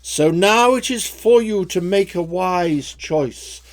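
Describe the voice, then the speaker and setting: A man voicing a tall old king in a story poem, a stern yet generous father speaking in formal, measured rhyme. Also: high voice, expressive, pitched above the performer's own voice. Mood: frank, gentle.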